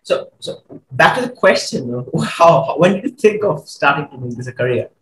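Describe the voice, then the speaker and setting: A man's voice: on the high side, lively intonation, moderate pace, fairly bright tone, reverberant, low-quality recording. A young man hosting a podcast on a video call, asking questions casually and encouraging his guest. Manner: encouraging, brief affirmations. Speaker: podcast host.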